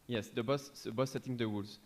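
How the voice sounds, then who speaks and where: A man's voice: mid-range voice, fairly even intonation, fast, darkish tone. A man with a French accent asking a lecturer a long question from the audience, hesitating and rephrasing as he goes. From France. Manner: hesitant, circling back to rephrase. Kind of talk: question from the floor.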